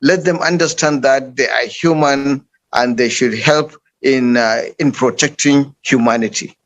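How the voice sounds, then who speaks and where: Slow-spoken Nigerian man. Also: mid-range voice, fairly bright tone. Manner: unhurried, with frequent uh fillers and restarts. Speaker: panel guest. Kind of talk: online panel discussion.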